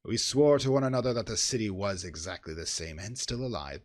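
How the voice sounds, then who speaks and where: A man giving a dramatic, theatrical horror reading in an intense, brooding manner, swelling from hushed passages to loud ones. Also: lowish voice, animated, brisk. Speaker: reader of a horror story.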